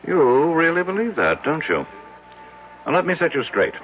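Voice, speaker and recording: An actor playing a proud, impatient property developer in a radio drama, assertive, formal and easily indignant. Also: male voice, a little high, big pitch swings, moderate pace, faint music behind. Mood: defensive, indignant. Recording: tinny band-limited old radio broadcast, faint noise.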